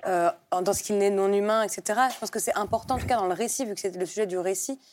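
A woman's voice: mid-range voice, fast talker, darkish tone, slight background noise. A young woman from Paris, speaking off the cuff in a panel debate, polite but insistent. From Paris, France. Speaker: panel guest, ecology advocate.

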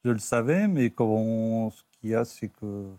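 A middle-aged man, a talk show guest recalling his own life in a halting, plain-spoken, casual way. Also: lowish voice, mellow, no room sound, clean studio sound. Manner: halting, plain-spoken, often tags phrases with a filler. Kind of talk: casual personal recollection. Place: TV studio.

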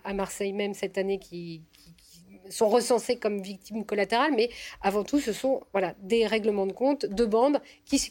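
A woman explaining events on a studio debate panel, speaking haltingly with fillers. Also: medium pitch, darkish tone.

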